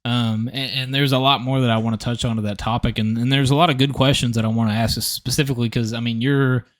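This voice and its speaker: A man's voice: medium pitch, fairly even intonation, fast talker, no echo. A man hosting a podcast, asking long, hesitant questions with many fillers. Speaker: podcast host. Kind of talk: casual interview. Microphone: podcast call mic.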